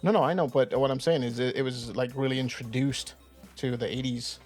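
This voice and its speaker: A middle-aged man chatting casually in a laid-back, halting way, often repeating words as he goes. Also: medium pitch, fairly even intonation, darkish tone, soft background music. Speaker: co-host, comic artist. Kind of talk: casual chat.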